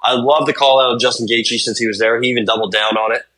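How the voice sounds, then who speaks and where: A man's voice: mid-range voice, fairly even intonation, quick pace, bright, forceful tone. A young man co-hosting a sports podcast, talking in a laid-back, rambling way and swearing casually. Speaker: podcast co-host.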